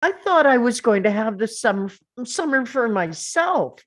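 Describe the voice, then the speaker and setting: A woman's voice: on the high side, sing-song, normal speed. A woman reading an awkward, earnest young teenage boy in a screenplay table read, with a hesitant manner. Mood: protesting.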